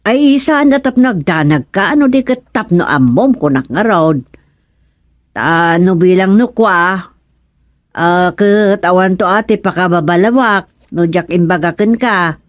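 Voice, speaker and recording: A fussing Ilocano village wife, dry close sound. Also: female voice, lowish voice, animated, a little slow, dry recording. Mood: chatty, concerned. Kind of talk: Ilocano radio comedy drama acting.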